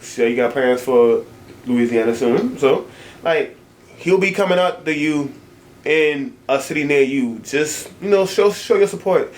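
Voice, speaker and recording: A man's voice: fairly high pitch, expressive, unhurried. Upbeat male interview host, echoey room. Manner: upbeat, promotional, repeats words. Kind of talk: casual interview chat.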